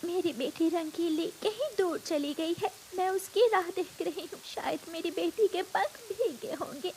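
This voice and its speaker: A woman's voice: very high voice, unhurried, a little mellow, slight background noise, pitched above the performer's own voice. A woman voicing an anxious, sorrowful mother butterfly in a children's cartoon. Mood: tearful worry. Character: sad anxious mother butterfly longing for daughter.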